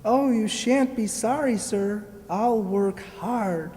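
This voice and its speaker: A woman's voice: mid-range voice, takes it slowly, mellow, natural voice. A woman playing a polite, earnest poor boy in an amateur radio play, hesitant and deferential. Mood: earnest, humble. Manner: deferential, hesitant, eager to please. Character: polite, earnest, humble poor boy seeking work in London. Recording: echoey hall, long reverb.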